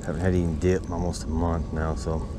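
A man's voice: sits low, little pitch movement, quick pace. A man, talking casually to his action camera while fishing at a wooded lakeshore. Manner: laid-back, rambling, drawling asides. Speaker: fishing vlogger. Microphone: head-mounted action camera. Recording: clear wind noise on the mic. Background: wind.

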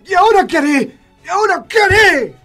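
Man playing an irascible high-voiced old Argentine father. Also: expressive, a little fast, sharp tone, faint music behind, full character voice. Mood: despairing. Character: irascible greedy old puppet-maker father. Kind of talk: comic radio play acting.

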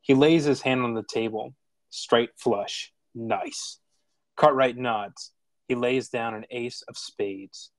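Man, scripted narration, mid-range pitch, measured, plain, stage directions, no room echo.

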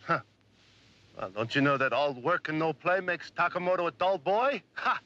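An actor playing a jovial, awkward middle-aged farm boss in a television period drama, folksy and hesitant. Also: male voice, a little high, expressive, normal speed, sharp tone. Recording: dull old TV soundtrack.

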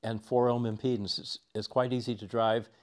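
A man's voice: medium pitch, flat delivery, normal speed, darkish tone. An older man, conducting a technical interview as a reviewer in a listening room. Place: carpeted home listening room, indoors. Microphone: clip-on lapel mic.

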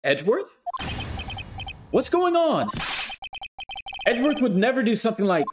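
A reader voicing a bubbly, excitable young female spirit medium in a game read-through, with music underneath. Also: mid-range voice, normal speed, voice pushed up, natural voice. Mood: alarmed. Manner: eager, goofy, excitable. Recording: background music.

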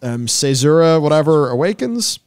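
A man's voice: on the high side, sing-song, normal speed, darkish tone, clear, resonant tone, no echo. A man giving casual, offhand commentary on video game news with dry joking asides. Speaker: host.

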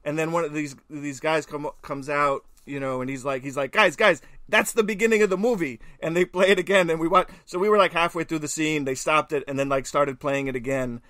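A man's voice: a little high, fairly even intonation, a little fast, few pauses, fairly bright tone, dry recording, clean studio sound. A man co-hosting a podcast, chatting casually and telling anecdotes. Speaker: podcast co-host.

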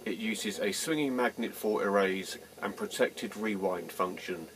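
A man reading a scripted test narration in a measured, deliberate way, as a presenter demonstrating equipment. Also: fairly low pitch. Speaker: presenter of a vintage tape recorder demo. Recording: noisy vintage reel-to-reel test recording.

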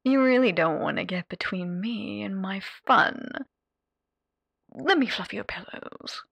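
Evil radio demon girl for asmr, theatrical n mocking, super swoopy, slow drawn out taunts. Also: medium pitch, expressive, gravelly, a bit bright, no room sound, exaggerated voice. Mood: manic glee. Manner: mocking, showy, drawn-out delivery with whispered asides and pet names. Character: sadistic, theatrical female radio demon playing hotel therapist. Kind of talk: ASMR character roleplay addressed to the listener.

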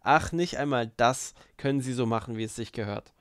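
A man voicing a mocking, spiteful, boastful young man in an audiobook, loud and needling. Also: medium pitch, fairly quick, no echo, studio quality, close to an everyday voice. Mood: irritated, loud.

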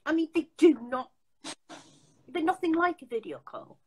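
An older woman hosts a casual video call from home, her voice sitting fairly high. She talks emphatically, often restarting her sentences as she presses a point. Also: very animated, moderate pace, darkish tone, slight background noise. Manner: chatty, emphatic, many restarts. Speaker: host. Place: home room.

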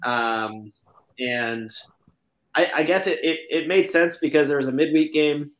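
A man's voice: on the high side, unhurried. A man in his thirties chatting casually about sport as a panelist on a video call. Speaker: podcast panelist. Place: indoor room at home. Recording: echoey room on video call.

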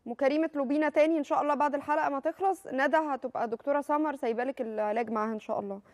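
Brisk Egyptian woman TV host. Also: high-pitched, fairly even intonation, a little mellow, no room sound, studio quality. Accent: Egyptian Arabic. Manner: brisk, reads viewer messages aloud. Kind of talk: TV talk show hosting. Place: TV studio.

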